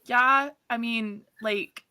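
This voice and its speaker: A woman chatting on a stream, throwing in joking, deadpan quips. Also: fairly high pitch, extremely slow, smooth. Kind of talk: casual chat on a stream.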